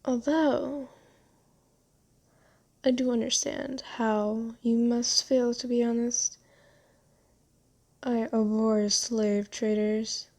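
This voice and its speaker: A woman playing a gentle, genteel vampire in an ASMR roleplay, soft-spoken, comforting and unhurried. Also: fairly high pitch, flat delivery, heavily acted. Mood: calm, reflective. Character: gentle, soothing, genteel vampire who bought a captive. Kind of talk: ASMR roleplay, scripted character acting.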